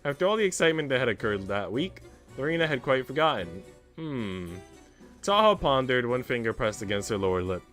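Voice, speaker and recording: A man's voice: medium pitch, very animated, brisk, fairly bright tone. A man streaming a visual novel, reading its text aloud and adding casual asides, with music playing behind him.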